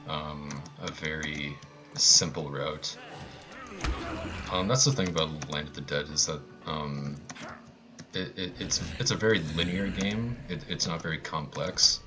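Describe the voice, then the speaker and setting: Low-voiced male speedrunner, halting, offhand live commentary with dry humour. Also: music in the background. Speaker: speedrunner commentating own run. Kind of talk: casual live gameplay commentary.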